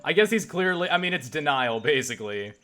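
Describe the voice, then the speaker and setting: A man's voice: fairly high pitch, expressive, brisk, sharp tone. A young man giving casual, opinionated gaming commentary as a let's play host, emphatic and freely swearing.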